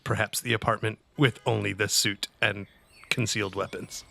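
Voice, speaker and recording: A man's voice: lowish voice, little pitch movement, normal speed, vocal fry, bright, forceful tone. A man playing a tabletop game, casually improvising his character's actions and lines. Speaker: player in a tabletop role-playing podcast. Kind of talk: casual improvised role-play.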